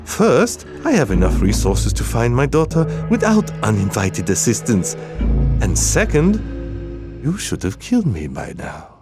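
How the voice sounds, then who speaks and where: An actor playing a menacing crime boss who speaks formally and deliberately in a video game. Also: male voice, medium pitch, wildly swooping pitch. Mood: cold menace. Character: menacing, unhurried male crime boss and father. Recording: music behind the voice.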